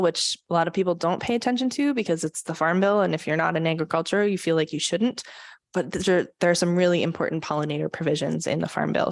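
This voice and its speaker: Lower-voiced woman giving a conservation talk. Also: gravelly, no room sound. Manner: earnest, frequent um fillers. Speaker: conservation presenter. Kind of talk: public talk at a church forum. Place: church meeting room.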